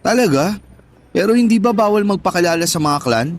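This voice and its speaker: A man's voice: sits high, lively intonation, a little fast, a little hiss or hum. A man with a Filipino accent acting a cheeky, eager guy in his early twenties, playful and colloquial, in a radio drama. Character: cheeky lovelorn 22-year-old guy, eager younger friend. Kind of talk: Tagalog radio drama dialogue.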